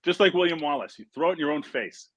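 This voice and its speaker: A man hosting a casual livestream, chatty and relaxed, with frequent fillers. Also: on the high side, quick pace, muffled recording. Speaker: film director hosting a livestream.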